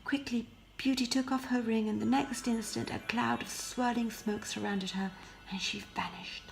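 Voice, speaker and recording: A woman narrating a scripted fairy tale as an expressive storyteller, often hushing her phrases. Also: on the high side, normal speed, creaky, rough recording. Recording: faint background noise.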